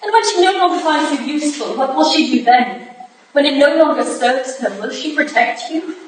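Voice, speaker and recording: A woman playing a sharp, indignant, proud young Victorian society wife in a staged reading. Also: high voice, expressive, normal speed. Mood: challenging. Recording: echoey hall, distant mic, hissy noise.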